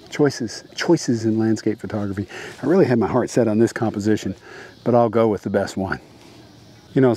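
A man's voice: lowish voice, warm tone. Casual middle-aged man talking outdoors. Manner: casual, rambling, many fillers and restarts. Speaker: landscape photographer. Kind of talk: outdoor vlog with photography tips. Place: outdoors by a lake at dusk.

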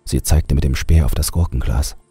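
A man narrating a scripted horror story with a husky, raspy voice. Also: a bass voice, steady tone, moderate pace, mellow, soft background music.

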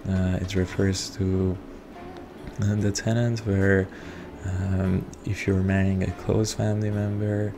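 Slow, gravelly man, native Persian speaker. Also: low voice, little pitch movement, background noise. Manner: hesitant, many ums and uhs. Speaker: strategy game video reviewer. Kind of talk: unscripted gameplay commentary. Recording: voice over clear background music. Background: background music.

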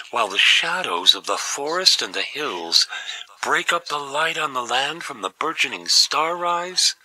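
A man giving poetic audio drama narration in a breathy, half-whispered voice. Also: mid-range voice, pushed and bright, poor audio.

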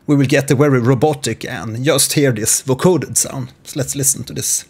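A man in his forties giving an informal, measured, instructional screen-share tutorial on music software from a small home studio. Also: medium pitch.

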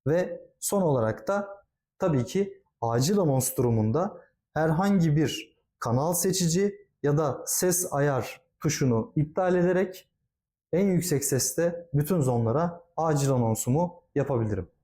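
A man's voice: on the high side, animated, normal speed, mellow. A man giving a product demonstration as a company presenter, speaking politely and formally, explaining things step by step.

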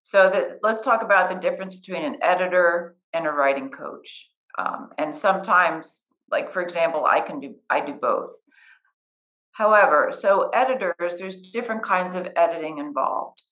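A woman's voice: on the low side, normal speed, a bit bright, lo-fi sound. A middle-aged woman, a writing coach and editor, patiently explaining the steps of her work in a conversational interview. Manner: patient, practical, walks through steps. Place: living room, sitting on a couch beside a guest.

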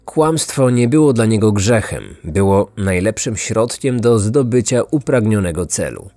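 A man narrating a scripted true-crime podcast in measured, suspenseful phrases. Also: lowish voice, animated, dark tone, dry recording. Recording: dry studio voice over music. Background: background music.

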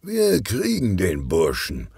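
A man plays a determined, jovial old detective uncle from a children's audiobook. He grumbles in a low, slow voice, weighing each phrase with a lot of melody. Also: wildly swooping pitch, vocal fry, darkish tone, husky, no echo, clean studio sound, heavily acted. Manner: grumbling, deliberate.

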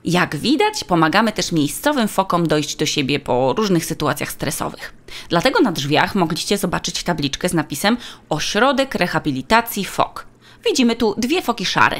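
Lady acting a tour guide woman, lowish voice, really lively intonation, polite n explaining, kids audiobook. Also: moderate pace. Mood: informative. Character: helpful, informative female seal sanctuary tour guide. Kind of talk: children's audiobook character.

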